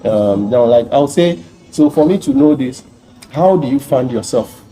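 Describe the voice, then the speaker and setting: A man's voice: mid-range voice, lively intonation, moderate pace, dark tone, faint music behind, poor audio. A man from Nigeria hosting a casual interview, prodding with curious questions and a playful edge. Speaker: interview host, YouTuber.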